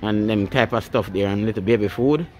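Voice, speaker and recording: A man's voice: lowish voice, brisk, a little mellow. A Jamaican man chatting casually for a vlog in playful, teasing patois banter. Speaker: family vlogger. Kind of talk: casual joking vlog chat.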